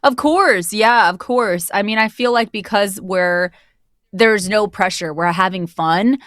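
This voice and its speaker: A woman's voice: mid-range voice, unhurried, a bit bright, no room sound, studio quality. A woman speaking casually as an interview guest who narrates audio drama, explaining things in a reflective way.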